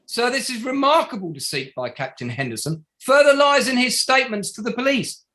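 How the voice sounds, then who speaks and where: A man acting as an indignant male barrister in a stage drama, addressing an inquiry. His voice sits high for a man and swings widely in pitch. He speaks formally and deliberately, breaking his submissions into emphatic short phrases. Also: big pitch swings, bright, forceful tone, heavily acted. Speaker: actor in an online table read. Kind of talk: formal courtroom submission, play reading.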